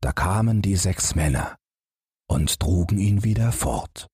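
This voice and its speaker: A man reads a bedtime fairy tale as an audiobook narrator. His voice is low and very husky, recorded close and dry. He takes his time with the scripted story. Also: animated, unhurried, mellow, dry recording.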